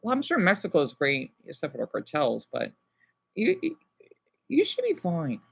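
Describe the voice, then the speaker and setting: A man chatting casually to live viewers as a streamer, rambling and halting as he jumps between thoughts. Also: a little high, wildly swooping pitch, creaky, breathy edge, muffled recording. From United States.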